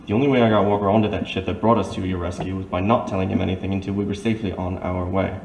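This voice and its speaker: Actor as a low-voiced, courteous major. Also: male voice, fairly even intonation, rapid-fire, gravelly. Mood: coaxing, patient. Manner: courteous, formal, discreet. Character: polite, cautious gentleman major, patient escort. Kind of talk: old-time radio drama acting. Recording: roomy, faint background noise.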